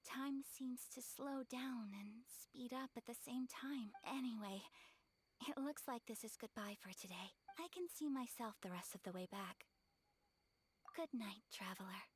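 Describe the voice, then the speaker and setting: A woman voicing a gentle, graceful young noblewoman in an anime game, soft and sincere. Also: on the high side, measured pace, slight background noise, natural voice. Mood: tender, wistful. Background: background music.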